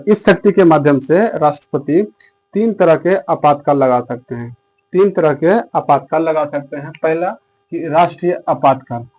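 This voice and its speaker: High-pitched male Indian teacher, repeating key phrases. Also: a little mellow, poor audio. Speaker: teacher for competitive exam students. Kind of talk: explanatory slide lecture.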